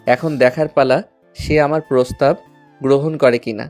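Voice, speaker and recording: A man's voice: mid-range voice, steady tone, moderate pace, a little mellow, soft background music, lightly acted. A man playing an eager, grateful, lovestruck schoolboy in an audio love story.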